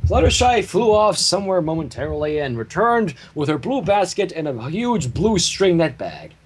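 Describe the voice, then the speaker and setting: A man reading fan fiction aloud as an animated story narrator, with casual asides. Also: fairly high pitch, moderate pace, slight background noise.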